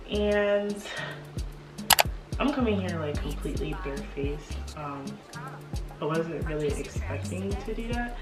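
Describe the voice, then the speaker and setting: A young woman with a congested voice from a cold, chatting casually as a beauty vlogger getting ready at home, with lots of fillers and laughs. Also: lowish voice. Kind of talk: casual get-ready-with-me chat. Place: home apartment room. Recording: echoey room, mic at a distance, music behind.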